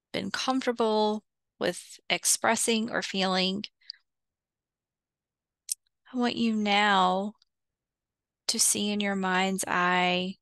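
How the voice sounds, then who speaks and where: Slow-spoken woman guiding meditation, smooth voice. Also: medium pitch, a bit bright, no room sound. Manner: soothing, unhurried, with long pauses between short phrases. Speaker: guided meditation teacher. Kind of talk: guided visualization, slow meditation instruction.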